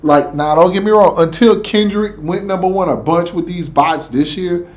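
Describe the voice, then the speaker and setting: A man giving casual, animated reaction commentary, repeating words and firing off rhetorical questions. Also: high voice, moderate pace. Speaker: reaction video host.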